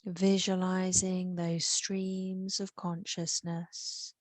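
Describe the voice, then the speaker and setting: A woman with an English accent leading a guided meditation, soothing and unhurried, with long pauses. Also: fairly low pitch, flat delivery, a little mellow, no room sound.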